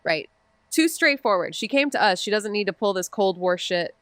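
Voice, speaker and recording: An actress playing a wry, sarcastic amateur detective in a noir audio drama. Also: female voice, medium pitch, animated, normal speed, a bit bright, no echo, close to an everyday voice. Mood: wry banter. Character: wry amateur girl detective, narrating her father's case.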